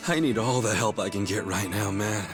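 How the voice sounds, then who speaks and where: Low-voiced, earnest yakuza hero played by a man. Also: moderate pace, over music, lightly acted. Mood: sincere, grateful. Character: brash, warm-hearted low-ranking yakuza hero, adult man. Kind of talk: dramatic video game dub acting.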